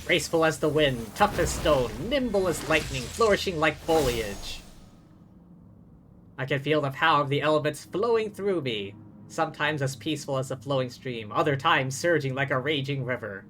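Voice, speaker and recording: Bright-voiced male game streamer. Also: on the high side, animated, normal speed, a little hiss or hum. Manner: wry, sweary asides. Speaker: game livestreamer. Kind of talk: livestream commentary and reading game dialogue aloud.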